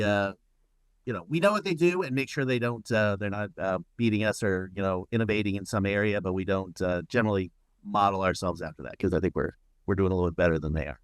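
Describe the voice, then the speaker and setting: A man co-hosting a podcast casually, speaking in halting bits with many restarts. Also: on the low side, smooth, no room sound.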